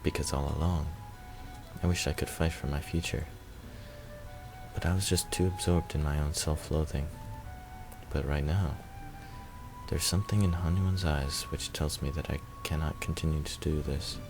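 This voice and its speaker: A man with a very low, gravelly voice reads a visual novel's narration aloud. His delivery is subdued and fairly flat, measured out with pauses, over clearly audible music. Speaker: let's player reading a visual novel.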